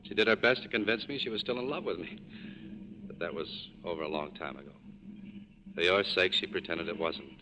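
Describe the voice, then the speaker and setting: A resolute, world-weary tough man, acted by a male player speaks in a low voice. It is 1940s radio drama, heard through clear noise on an old broadcast recording. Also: brisk, dull audio, lightly acted. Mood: firm, wistful.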